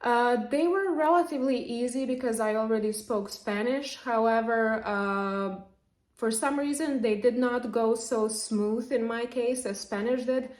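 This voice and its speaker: A young woman from Serbia, a native Serbian speaker, explaining language learning to camera in a lively, chatty way. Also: on the high side, unhurried. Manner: lively, explanatory, with frequent fillers. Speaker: language vlogger. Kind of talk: casual talk to camera. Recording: echoey room.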